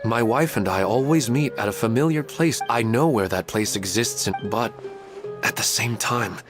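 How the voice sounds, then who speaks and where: A man's voice: mid-range voice, normal speed, close to an everyday voice. An actor playing a wistful, polite father in an anime game, speaking hesitantly and tenderly. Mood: wistful, resigned. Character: wistful, polite widowed father clinging to dreams. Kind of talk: scripted anime game dialogue. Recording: game music under the voice.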